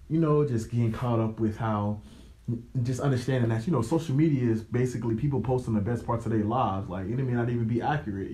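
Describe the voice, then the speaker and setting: A young American man hosts a podcast alone in a mid-pitched voice. He talks loosely and conversationally in slang, giving motivational advice and often checking that the listener follows. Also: darkish tone. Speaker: podcast host. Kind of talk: casual motivational monologue.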